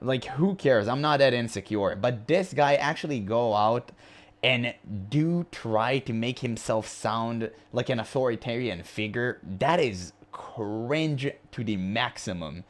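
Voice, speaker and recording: Man with a high voice, blunt and mocking. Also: measured pace. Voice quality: high-pitched voice, by his own account. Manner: blunt and mocking, laughs often. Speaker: reaction video host. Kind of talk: casual reaction commentary.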